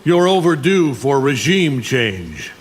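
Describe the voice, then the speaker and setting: An actor playing an arrogant, brutal middle-aged alien conqueror in a video game, cold, contemptuous and menacing. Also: male voice, medium pitch, sing-song, takes it slowly, breathy edge, big-room sound, heavily acted. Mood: dismissive. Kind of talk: video game fight banter.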